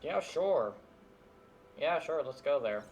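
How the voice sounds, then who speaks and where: A man's voice: on the high side, expressive, slow pace, darkish tone, a little hiss or hum. A man giving casual, offhand playthrough commentary as a game streamer, with a dry manner.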